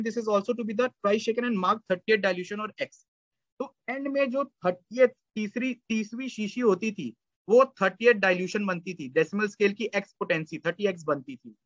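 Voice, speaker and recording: High-voiced man lecturing over a webcam. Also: a bit bright, no echo. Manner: explains in Hindi, reads English passages slowly. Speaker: homeopathy lecturer. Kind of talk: online lecture explaining slides. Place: indoor room, webcam lecture. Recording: dull, lo-fi sound.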